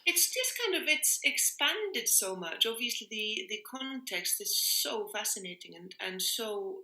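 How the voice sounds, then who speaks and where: A woman's voice: fairly high pitch, lively intonation, a little slow, bright, forceful tone. A woman speaking reflectively in an interview, earnest and searching for her words, often repeating phrases for emphasis. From Iceland. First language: Icelandic. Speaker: interviewed music workshop leader. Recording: roomy, echoing sound.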